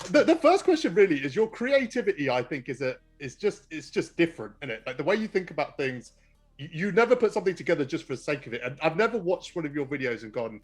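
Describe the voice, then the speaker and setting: A man hosting a casual podcast, asking long rambling questions and laughing often. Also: a little high. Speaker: podcast host.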